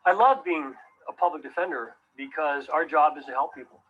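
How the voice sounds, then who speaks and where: A man's voice: fairly high pitch, fairly quick. A man who works as a public defender, speaking earnestly and steadily in a recorded testimonial, on dull lo-fi audio.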